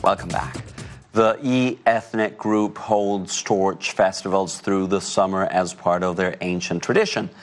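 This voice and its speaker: A male news anchor introducing stories in a crisp presenter style. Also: low voice, steady tone, a little slow, soft background music.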